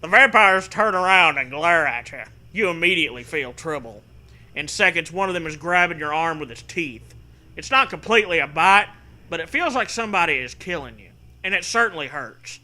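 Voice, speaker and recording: A man's voice: fairly high pitch, animated, brisk, sharp tone. A man reading a story aloud for comedy, with jokey, profane riffs and a theatrical delivery. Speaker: host reading a choose-your-own-adventure story aloud. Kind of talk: comedic read-aloud with casual riffing.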